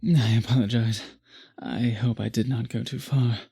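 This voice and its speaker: A man playing a possessive, doting male vampire in an intimate ASMR roleplay, speaking formally in a husky, breathy murmur that often drops to a whisper. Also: medium pitch, steady tone, moderate pace, mellow. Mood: apologetic, doting.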